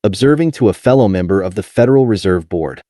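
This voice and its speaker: A man narrating a nonfiction audiobook in a measured, clearly articulated reading. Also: fairly low pitch, animated, dark tone, no room sound, clean studio sound.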